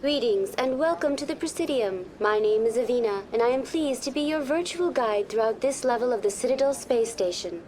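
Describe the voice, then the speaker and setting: A woman's voice: on the high side, fairly quick, few pauses, slight background noise, natural voice. A woman voicing a polite, unflappable artificial intelligence tour guide in a video game, formal and informative. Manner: formal, even-tempered, informative.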